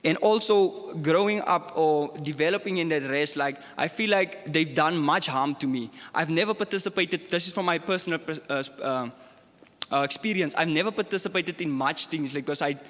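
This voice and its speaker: A man's voice: on the high side, moderate pace, a bit bright. Young man, raised voice, long hall reverb, earnest student panelist. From South Africa. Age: young adult. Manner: earnest, grows heated, many fillers. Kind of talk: panel discussion.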